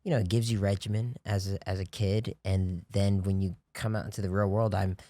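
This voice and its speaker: A man with a lowish, mellow voice tells stories in a loose, rambling way, often restarting and filling with "you know, like." It's relaxed podcast talk, recorded dry and close. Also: brisk, dry recording. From grew up on US military bases in Japan. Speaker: podcast guest. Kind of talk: casual chat.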